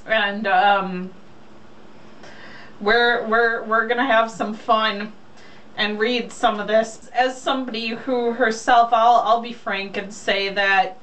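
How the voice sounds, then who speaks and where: A woman speaks at an unhurried pace with blunt sarcasm, moving between casual chat and mocking read-aloud. Her voice sits a little high. She is recorded at some distance from the mic, with faint noise behind her. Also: fairly even intonation, fairly bright tone. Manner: blunt, sarcastic, swears freely. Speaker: co-host reading a romance novel aloud.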